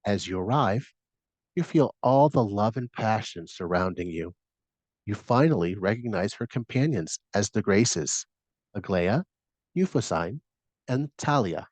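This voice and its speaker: Low-voiced man guiding a meditation. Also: expressive, darkish tone, dry recording, low-quality recording. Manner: soothing, measured, instructing. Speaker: meditation guide. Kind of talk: scripted guided meditation narration.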